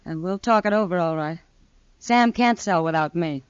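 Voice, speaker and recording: A woman's voice: lowish voice, normal speed, smooth, no echo, close to an everyday voice. An actress playing an independent, strong-willed American saloon owner in a radio western, frank and firm in her speech. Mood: guarded. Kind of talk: 1950s radio western acting. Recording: dull vintage radio recording.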